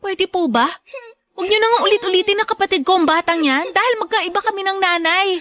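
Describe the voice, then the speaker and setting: An actress playing a bitter, defiant young daughter in a radio drama, speaking in a sharp, scornful, cutting way. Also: female voice, very high voice, fast.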